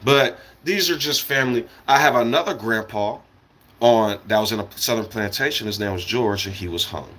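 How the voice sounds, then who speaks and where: Bright-voiced, blunt American man. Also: lowish voice, normal speed. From United States. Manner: blunt, opinionated, profane. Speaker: live streamer. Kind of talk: casual rambling livestream monologue.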